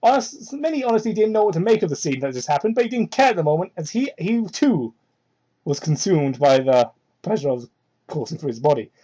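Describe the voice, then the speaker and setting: A woman's voice: big pitch swings, a little fast, warm tone, husky, lo-fi sound. A woman giving a comedic dramatic reading of fan fiction with exasperated, sweary asides, recorded on a crude microphone. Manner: exasperated, sweary, heckles the text. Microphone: cheap home microphone. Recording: crude, low-quality microphone.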